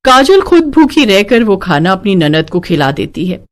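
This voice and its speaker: A woman's voice: mid-range voice, sing-song, normal speed. A woman narrator reading a scripted moral bedtime story.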